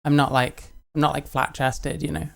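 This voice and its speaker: A woman's voice: low-pitched, level tone, moderate pace, vocal fry. A British woman streamer casually reading out and answering viewer comments in short, offhand replies.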